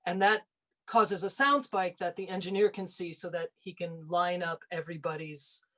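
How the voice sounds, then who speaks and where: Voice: medium pitch, fairly even intonation, moderate pace, sharp tone. Thoughtful actor with a mid-range voice. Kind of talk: casual interview reflection.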